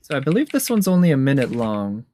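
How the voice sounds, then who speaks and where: A man's voice: mid-range voice, very animated, fast talker, warm tone. A man giving casual, enthusiastic reaction commentary as a host, thinking aloud as he goes. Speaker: host of a game trailer tier list. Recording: music behind the voice. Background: game trailer music.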